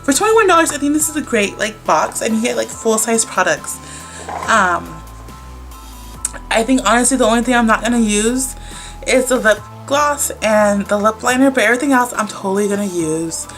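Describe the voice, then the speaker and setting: A woman's voice: fairly high pitch, expressive, normal speed, fairly bright tone, faint music behind, rough recording. A young woman vlogger with a congested, stuffy voice from a cold, chatting casually and rambling with lots of "like" and "um" as she unboxes beauty products. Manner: chatty, rambling, many likes and ums.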